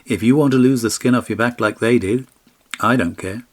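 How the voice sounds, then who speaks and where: Storybook monarch, gruff, dismissive and short of patience, rough-edged voice, performed by a male narrator. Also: medium pitch, moderate pace, close to an everyday voice. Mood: weary, dismissive. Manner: brusque, scornful. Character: gruff, dismissive fairy-tale king, impatient father.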